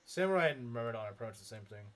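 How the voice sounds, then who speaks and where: Lively, rambling young man streamer. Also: mid-range voice, very fast. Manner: rambling, heavy filler words, frequent chuckles. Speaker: game streamer. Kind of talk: casual live-stream commentary reading chat.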